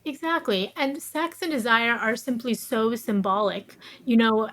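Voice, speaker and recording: A woman's voice: on the high side, a little slow, a bit bright, slight background noise. A woman giving reflective, explanatory answers as an author in an interview, often adding "you know" as she talks. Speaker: podcast guest, author. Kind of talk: thoughtful interview conversation.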